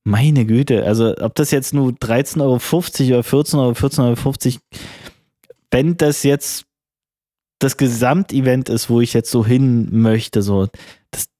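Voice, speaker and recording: Man, podcast co-host, has a cold, mellow voice, rambling, coarse slang, dry close mic. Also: mid-range voice, normal speed, no echo. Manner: rambling, coarse colloquial, frequent restarts. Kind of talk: casual chat.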